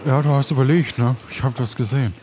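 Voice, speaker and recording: Male player as casual sidekick con man: average pitch, halting everyday speech, improvised audio play, noticeably noisy recording. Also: steady tone, close to an everyday voice. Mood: chummy. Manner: halting, colloquial, tag questions. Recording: clear background noise.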